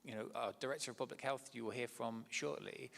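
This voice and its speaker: A man speaking earnestly on a symposium panel, in a steady way with some hesitations. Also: medium pitch, a little fast, gravelly, in a large hall.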